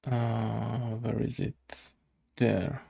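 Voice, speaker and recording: Man, game developer, casual thinking aloud, muttering, very slow halting delivery, fry in the voice. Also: mid-range voice, completely flat delivery, mellow.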